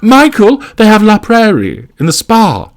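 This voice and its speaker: A man's voice: medium pitch, wildly swooping pitch, unhurried, slightly rough, voice pushed up, exaggerated voice. A man voicing a snobbish, domineering well-off wife in an audiobook, effusive, emphatic and condescending. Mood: excited, gushing.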